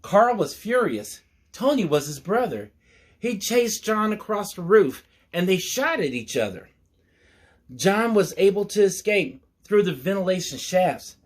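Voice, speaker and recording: A man hosting storytime, reading a picture book aloud with mock-earnest asides in a measured storyteller's manner. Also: high-pitched, sing-song.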